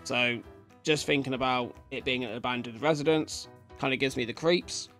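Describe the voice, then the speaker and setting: Guy in his 30s chatting to viewers while reacting, thinking out loud, music playing behind. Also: medium pitch, normal speed. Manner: informal, musing aloud, addressing viewers. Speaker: reaction video host. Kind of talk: casual reaction commentary. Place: indoors, seated at a desk.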